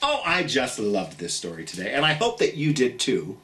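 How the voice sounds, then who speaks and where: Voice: mid-range voice, wildly swooping pitch, moderate pace, a bit bright, recorded from a distance. A children's storytime host with a theatrical, singsong manner, speaking encouragingly and directly to young listeners.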